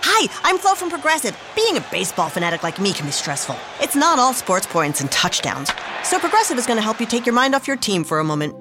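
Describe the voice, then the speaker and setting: A woman's voice: a little high, big pitch swings, fast, over music. A woman spokeswoman in a comic radio commercial, perky and bubbly.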